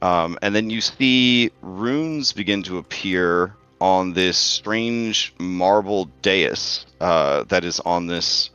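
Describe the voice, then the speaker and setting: A man's voice: low voice, slow pace, hardly any pauses, pushed and bright, smooth, muffled recording, soft background music. A man in his forties, the game master, narrating a tabletop session in a chatty, joking way with many fillers. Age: 40s.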